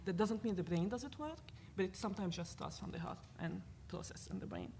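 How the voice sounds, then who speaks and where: A woman from Lebanon with a Lebanese accent, speaking as a panelist at a university event in an earnest, animated way, thinking aloud with fillers. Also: medium pitch, brisk, slight background noise. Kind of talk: panel discussion talk.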